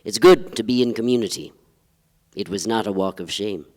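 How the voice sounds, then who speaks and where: An actor playing a pompous, wistful poetry-reading emcee, speaking in a solemn, florid way on a theatre stage. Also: male voice, lowish voice, normal speed, lightly acted. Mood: pompous, courtly. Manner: pompous, ponderous. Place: theatre stage, live performance. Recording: reverberant live theatre hall.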